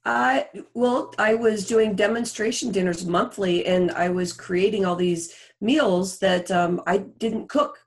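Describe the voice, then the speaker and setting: Effusive woman with a creaky voice. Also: mid-range voice, unhurried, few pauses. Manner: effusive, encouraging. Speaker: podcast guest, coach and author. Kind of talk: casual interview talk.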